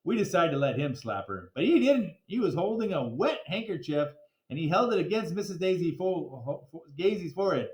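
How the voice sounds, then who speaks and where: Animated man reading aloud, echoey room. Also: a little high, fairly quick. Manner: explains words simply to young listeners. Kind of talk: animated children's storybook read-aloud with casual asides. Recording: echoey, roomy sound.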